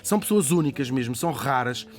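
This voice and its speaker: Brief: Portuguese male radio columnist, quick and animated, light music under the studio talk. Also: medium pitch. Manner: animated, laughing storyteller, quick asides. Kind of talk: lively radio biography chat.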